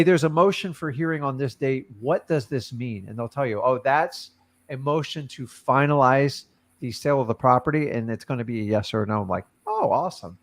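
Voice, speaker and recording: A man hosting a coaching call, giving blunt and casual advice to students over a video call. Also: medium pitch. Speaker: host and wholesaling coach.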